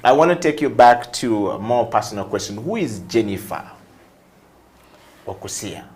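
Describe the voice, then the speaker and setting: A man hosting an interview, phrasing his questions in a deliberate, emphatic way. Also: fairly low pitch, expressive, a little mellow, a little hiss or hum. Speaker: talk show host. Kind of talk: conversational interviewing.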